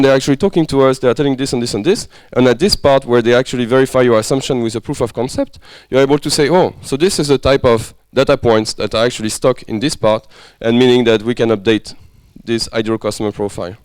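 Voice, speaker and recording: A man's voice: medium pitch, quick pace, few pauses, a little mellow, no room sound, low-quality recording. A man with a French accent leading a business workshop, talking casually and often checking that the group follows. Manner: friendly, conversational, checks understanding often. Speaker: workshop lecturer. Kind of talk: interactive workshop teaching. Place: workshop room.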